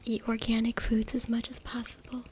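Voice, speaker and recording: A woman whispers an ASMR reading in a soft, deliberate way, with a slightly high voice that stays very even. She is close to a USB mic, and there is clear noise with clicks behind her. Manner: soft, hushed, deliberate whispering with repeated words. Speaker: ASMR creator reading from a book. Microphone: close USB microphone. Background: noise, tapping and clicking sounds.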